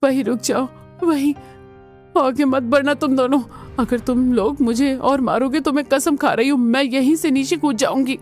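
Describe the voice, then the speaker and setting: A woman's voice: sits high, normal speed, darkish tone, faint music behind, natural voice. A woman voicing a timid, long-suffering young daughter-in-law who turns defiant, with emphatic delivery in a cartoon family melodrama. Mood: terrified, pleading.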